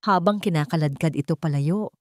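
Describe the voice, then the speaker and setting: Low-pitched Filipino woman narrating drama. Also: a little fast, a little mellow, dry recording, clean studio sound. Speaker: audiobook narrator. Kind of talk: dramatic scripted story narration.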